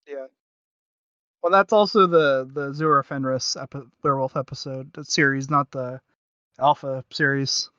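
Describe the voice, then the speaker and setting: A man chatting casually as a podcast co-host, halting and correcting himself as he makes his points. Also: medium pitch, fairly even intonation, pushed and bright, smooth, no room sound. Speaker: podcast co-host reviewing audio dramas. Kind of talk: casual review chat. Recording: dull, lo-fi dry mic.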